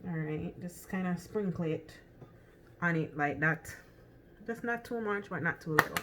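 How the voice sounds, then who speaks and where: A woman's voice: on the low side, normal speed, bright, forceful tone, slight background noise. A woman with a Jamaican accent chatting casually through a cooking demonstration in her home kitchen, thinking aloud with lots of ums and restarts. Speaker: cooking vlogger.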